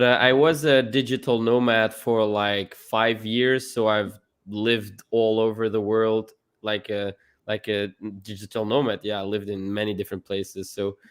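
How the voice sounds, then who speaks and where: A man's voice: on the low side, smooth. A young man from Belgium, a guest on a live stream, talking in an earnest, casual way and often repeating words as he starts a sentence.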